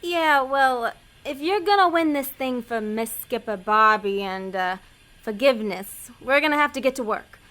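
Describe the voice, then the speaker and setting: A woman playing a tough-talking, sarcastic demon hustler in an audio comedy drama, deadpan and streetwise. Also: high-pitched, moderate pace. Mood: brisk, tough.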